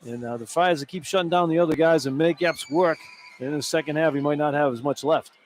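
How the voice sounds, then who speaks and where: A man's voice: on the high side, quick pace. An American man giving informal, conversational basketball analysis as a radio color commentator, sometimes repeating himself. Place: broadcast position inside a large indoor arena. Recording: live arena broadcast with background noise. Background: arena ambience and noise.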